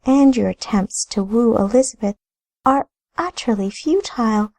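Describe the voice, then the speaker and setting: A woman's voice: fairly high pitch, moderate pace, a little mellow, pitched above the performer's own voice. A woman voicing a poised, scheming wife who speaks in a lilting, formal and cool manner. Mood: grave. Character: poised scheming wife and sister, grave and composed.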